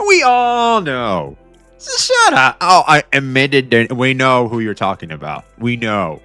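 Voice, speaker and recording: A young man hosting a casual reaction stream, talking back to a video with excited, repetitive commentary. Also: medium pitch, slow pace, faint music behind. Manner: excitable, talks back to the video, repeats himself.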